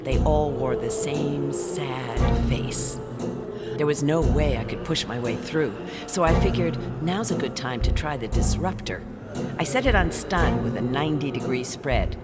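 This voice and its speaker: An extremely deep woman's voice, terse and dry. She is a tough, cool adventurer telling her own story in a radio play, with loud music underneath. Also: a little slow. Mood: cool, tense. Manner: terse, dry, hard-boiled. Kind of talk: audio drama first-person narration.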